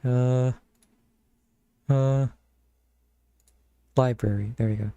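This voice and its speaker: A man's voice: mid-range voice, steady tone, very slow, mellow, smooth, no echo, dull audio. A man streaming live, chatting casually and thinking aloud in a rambling way into a close mic. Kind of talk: casual live-stream chat while browsing games.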